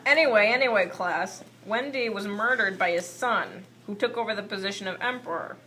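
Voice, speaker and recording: A woman playing a patient puppet teacher with a bright, mid-pitched voice. The delivery is scripted and explanatory, slightly exasperated, like a school puppet show, with faint noise in the recording. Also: a little fast, lightly acted, rough recording. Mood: instructive, exasperated. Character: patient puppet history teacher managing unruly class.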